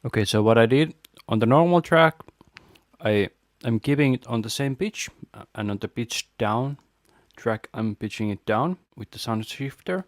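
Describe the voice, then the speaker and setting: A man presenting an audio tutorial, casually explaining a software setup step by step into a close microphone. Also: mid-range voice, animated, moderate pace, no echo.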